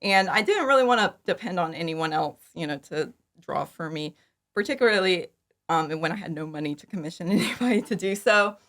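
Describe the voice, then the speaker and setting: A woman's voice: lowish voice, expressive, a little fast, a bit bright. A woman talking casually and informally as an interview guest, chatty and prone to acting out her own remarks. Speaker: podcast guest, fan audio drama creator.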